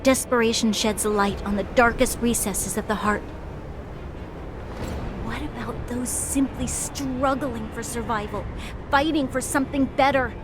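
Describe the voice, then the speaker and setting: Woman playing an earnest, high-voiced young noblewoman. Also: steady tone, background noise, lightly acted. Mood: sorrowful, reluctant. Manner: formal, resolute, measured. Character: earnest, principled young noblewoman defying her mother. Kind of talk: dramatic video game acting. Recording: loud music under the voice. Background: dramatic game music.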